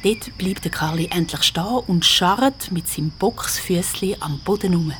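A woman's voice: on the low side, moderate pace, noisy background. A woman with a Swiss German accent narrates a children's audio drama in a vivid, conversational storytelling style. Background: music.

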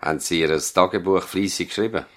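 An actor with a Swiss German accent playing a dignified, idealistic family doctor in a 1970s radio play, solemn and deliberate. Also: male voice, deep voice, a bit bright. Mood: collegial, courteous.

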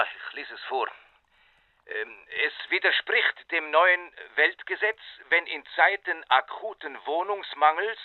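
An actor playing an easily offended village pastor in a radio play, huffy and formal with a Swiss German accent, through a telephone filter. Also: male voice, on the high side, dry recording. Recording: tinny band-limited telephone line.

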